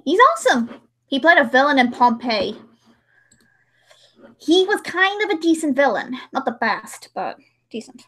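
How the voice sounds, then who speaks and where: Woman livestream host, high voice, casual and rambling, slips into acted-out remarks. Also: a bit bright. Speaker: livestream host and hobby voice actress.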